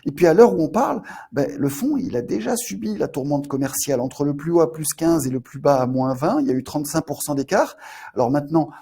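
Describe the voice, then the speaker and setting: A man with a Marseille accent giving market commentary on a webinar, halting often, restarting and repeating words as he explains. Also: fairly high pitch, lively intonation, creaky, mellow, slightly rough. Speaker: fund manager.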